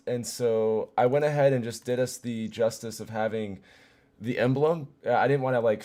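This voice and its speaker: Hoarse man, young adult, losing his voice, casual livestream chatter, lots of fillers. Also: lowish voice, a little mellow. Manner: loose and chatty, many fillers, greets viewers. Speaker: streamer. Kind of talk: casual gaming livestream chat.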